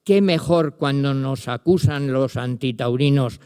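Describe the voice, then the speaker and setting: A man's voice: medium pitch, measured pace, mellow, clear, resonant tone. An older man with a Spanish accent, a literature professor giving a lecture to an audience, speaking directly to his listeners and reciting verse with emphasis. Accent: Spanish from Spain. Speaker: literature professor presenting a book.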